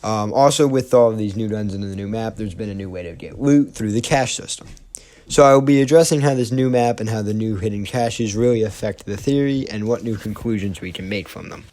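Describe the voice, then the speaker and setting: A man's voice: lowish voice, fairly quick, darkish tone. A young man narrating a game lore theory video, hesitant, with many fillers and restarts. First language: not Norwegian. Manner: hesitant, lots of ums and restarts.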